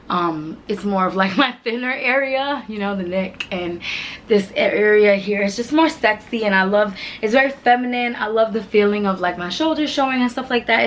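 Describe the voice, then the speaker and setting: A woman in her twenties with a naturally deep voice made hoarse by illness, chatting calmly and quietly through a clothing try-on in her bedroom. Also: slight background noise. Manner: calm and quiet, with laughs. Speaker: fashion vlogger. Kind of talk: casual try-on haul chat. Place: bedroom with vanity and mirror.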